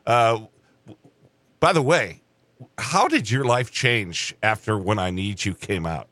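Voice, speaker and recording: A male interviewer with a crisp, bright tone whose pitch swings freely as he talks. He affirms each answer eagerly and follows up with new questions. The room sound is dry, with no echo. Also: lively intonation, measured pace.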